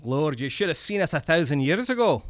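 A gruff old goblin, as a man puts him on for a storybook reading. He talks in a high, swooping voice, leaning on his swearing and his "lad". The sound is close and dry. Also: sing-song, dry recording. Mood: wistful. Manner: gruff, folksy, drawn-out swearing. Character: old male goblin red cap, wistful and gruff.

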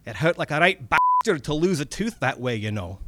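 A man's voice: a little high, sing-song, a little fast, background noise. A man voicing a sarcastic, grumpy but polite little old vampire man with a Scottish accent in a story reading. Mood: sarcastic, scolding. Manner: scolding, wheedling, colloquial.

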